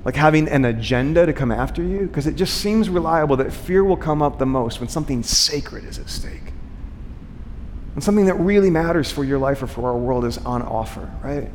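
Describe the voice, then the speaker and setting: A man's voice: a little high, darkish tone, slight background noise. A man preaching a conversational sermon, using rhetorical questions, repeated phrases and frequent check-ins with his listeners. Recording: long hall reverb.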